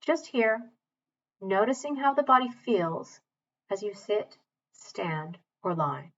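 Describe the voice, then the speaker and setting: A woman teaching mindfulness, leading a guided body scan in a calm, measured, gentle way with long pauses. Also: medium pitch, lo-fi sound.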